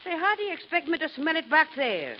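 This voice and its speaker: A man's voice: very high voice, wildly swooping pitch, quick pace, full character voice. A man doing a brash tough old sea-captain woman. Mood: blunt. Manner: rough, blunt, wisecracking, sailor talk. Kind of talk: 1940s radio comedy acting. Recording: dull old radio recording, faint hiss.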